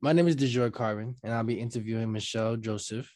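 A man interviewing over a video call for an oral history project, asking loose, halting questions with fillers. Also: mid-range voice, very noisy.

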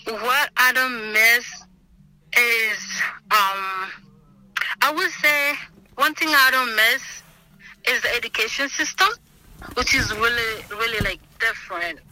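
A woman's voice: a little high, takes it slowly, pauses often, bright, forceful tone, low-quality recording. A woman, answering oral history questions earnestly over a remote call. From Senegal. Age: 20s. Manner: earnest, thoughtful, with frequent ums and restarts. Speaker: interviewee, student. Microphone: remote video call.

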